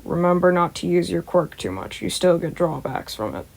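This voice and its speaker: Woman as a weary, lowered-voice man. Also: very animated, normal speed, vocal fry, a little mellow, exaggerated voice. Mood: stern. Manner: low-key, tired, terse. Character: weary, gruff adult man, reluctant guardian. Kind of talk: podfic character reading.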